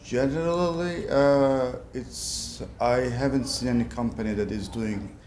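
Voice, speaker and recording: A man in his forties from Cyprus, a property developer, answering interview questions in a measured, hesitant way with many fillers. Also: medium pitch, a little mellow, a little hiss or hum. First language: Turkish. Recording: roomy echo with background music.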